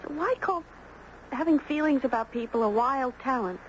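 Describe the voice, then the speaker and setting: A woman's voice: high voice, expressive, normal speed. A woman playing an earnest, insistent young girl with a blunt, childlike manner in a 1970s radio drama. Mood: thoughtful. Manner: blunt, thoughtful, childlike directness. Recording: dull, noisy old radio broadcast.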